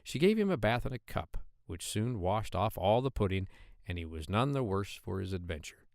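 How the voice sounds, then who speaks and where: A man narrating a children's storybook in an expressive storytelling manner. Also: on the low side, moderate pace, gravelly, no room sound, studio quality. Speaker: audiobook narrator of children's tales.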